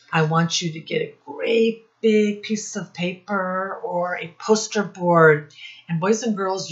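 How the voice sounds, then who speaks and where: American woman, lowish voice, unhurried pace, children's storytime teacher, question-asking, slightly dull home recording. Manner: instructive, asks questions, addresses listeners directly. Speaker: children's teacher and read-aloud host. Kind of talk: storytime lesson addressed to young children. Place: home office classroom.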